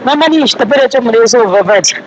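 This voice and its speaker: A man's voice: extremely high pitch, lively intonation, very fast. A man with a Zambian accent giving spontaneous views as a member of the public. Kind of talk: spontaneous vox pop. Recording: dull audio with loud background noise.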